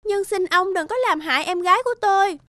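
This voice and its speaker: A woman voicing a kind, earnest young sister in an animated morality tale. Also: very high-pitched, fast, no room sound. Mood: earnest, anxious.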